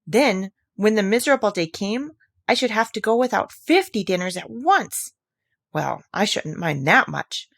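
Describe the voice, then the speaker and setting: Storybook little girl, played by a woman: playful, mock-stern, animated chatter, lifted pitch. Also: a little slow, creaky, no echo, exaggerated voice. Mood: musing. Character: playful, chatty, imaginative little girl scolding her kitten. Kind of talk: storybook character voice.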